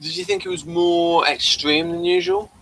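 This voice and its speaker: A man hosting a casual review chat, explaining things in a halting way and often restarting mid-thought. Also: high voice, huge pitch swings, vocal fry, a bit bright, poor audio. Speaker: podcast host.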